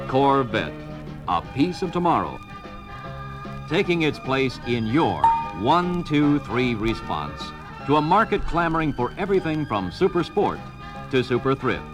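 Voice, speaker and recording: An American man narrates a vintage 1970s promotional film with a lively, swinging pitch. He delivers clipped, emphatic sales phrases over clearly audible music, as heard on an old vinyl record. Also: a little slow, low-quality recording. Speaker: narrator of a car dealer training film.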